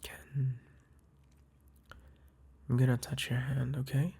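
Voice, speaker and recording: A man with a husky, breathy voice speaking softly and hesitantly into a close mic, whispering a tender apology as a boyfriend to the listener. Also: medium pitch, fairly even intonation, a little slow. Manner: soft, hesitant, tender, with sighs and restarts. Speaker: boyfriend in a roleplay audio. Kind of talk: intimate apology roleplay to the listener, ASMR-like.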